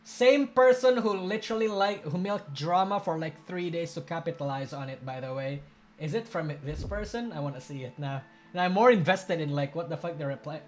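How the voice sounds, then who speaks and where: Rambling, swearing live-stream reactor. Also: male voice, a little high, lively intonation, a little fast, a little hiss or hum. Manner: rambling, blunt, swears, restarts and trails off. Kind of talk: casual live-stream commentary.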